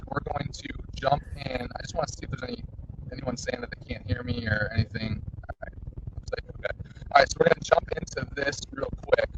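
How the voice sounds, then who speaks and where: A man hosts a webinar in a loose, rambling way, full of fillers and restarts. The audio is dull and lo-fi, with faint noise underneath, and he is talking from a moving vehicle. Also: mid-range voice. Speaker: webinar host. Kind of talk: casual webinar talk.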